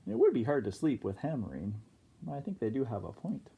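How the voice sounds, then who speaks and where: A kindergarten teacher reads a picture book aloud in a mellow, soft-edged voice. The reading is expressive, and it breaks off now and then for casual comments to the children. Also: medium pitch, creaky, a little hiss or hum. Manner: expressive, pauses to comment to young listeners.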